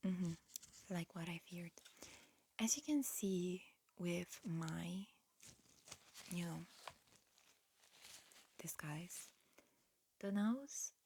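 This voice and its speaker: Slow whispering ASMR woman. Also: lowish voice, animated, slight background noise. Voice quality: breathy, mostly whispered with soft murmurs. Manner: gentle, unhurried, halting instructions. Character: disguised alien medical examiner, politely fussy and encouraging. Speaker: ASMR roleplay performer playing an examiner.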